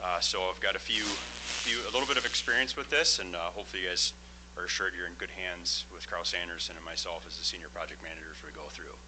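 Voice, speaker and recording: A middle-aged man giving a plain-spoken project presentation at a public board meeting, loud and full of fillers. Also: sits low, fairly even intonation, fast talker, a little hiss or hum, rough recording. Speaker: construction project manager presenting. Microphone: microphone.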